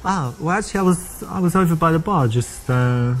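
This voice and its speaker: A man with a softened London English accent, playing a chatty, relaxed character in a scripted dating conversation. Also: on the high side, a little fast. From England. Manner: chatty, relaxed, with filler restarts. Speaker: player character in a dating game.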